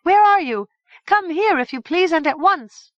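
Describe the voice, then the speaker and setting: A woman voicing a bold, impatient young fairy girl in a high, eager voice. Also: big pitch swings, brisk, no room sound, lo-fi sound. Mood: insistent.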